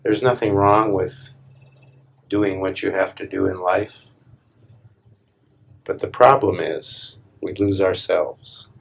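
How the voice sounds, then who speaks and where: A man leading a live guided meditation, speaking calmly and deliberately in short phrases with long pauses between them. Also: low-pitched, gravelly, husky. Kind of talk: live guided meditation, slow hushed instruction.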